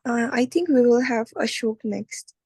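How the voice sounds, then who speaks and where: A woman's voice: a little high, measured pace, darkish tone, breathy edge, dry recording, clean studio sound. A woman in her twenties moderating an informal online webinar. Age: 20s. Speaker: session moderator and consultant. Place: online video call.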